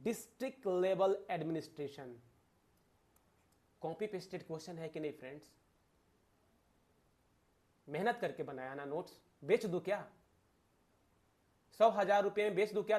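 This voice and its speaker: High-pitched Indian man teaching emphatically. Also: a little mellow. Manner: emphatic, with rhetorical questions and repeated phrases. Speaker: exam-prep teacher and channel host. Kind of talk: informal exam lecture to camera, addressing viewers as friends.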